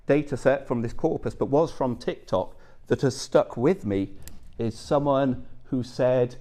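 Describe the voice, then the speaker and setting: Brief: middle-aged male academic presenter, conversational lecture delivery, mid pitch, keeps checking in with listeners. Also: unhurried, mellow. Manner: conversational, checks in with frequent "okay" and "yeah". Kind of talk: conference talk.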